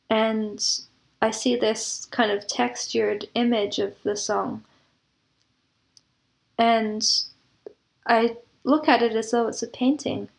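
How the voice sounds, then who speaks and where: A woman's voice: on the high side, creaky. A woman speaking as an interview guest, explaining her creative work in a thoughtful, descriptive way. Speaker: guest composer and audio drama producer. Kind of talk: reflective interview talk.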